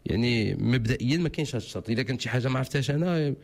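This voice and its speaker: A man with a Moroccan accent giving legal advice to callers on a radio call-in show, fast and insistent. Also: mid-range voice, expressive, a little mellow. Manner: fast, insistent, repeats himself. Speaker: legal expert answering callers.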